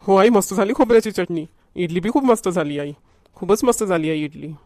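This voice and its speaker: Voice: medium pitch, expressive, brisk, darkish tone, dry recording, close to an everyday voice. A performer voicing a food-loving, whiny young schoolboy in a homemade comedy cartoon, with a Varhadi Marathi accent. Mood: eager. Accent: Varhadi Marathi from Vidarbha.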